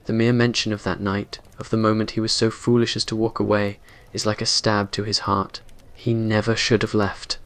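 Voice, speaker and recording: A man's voice: lowish voice, level tone, moderate pace, hardly any pauses, a bit bright. A man reading scripted dramatic narration for a romantic ASMR roleplay in an earnest, brooding way. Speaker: story narrator.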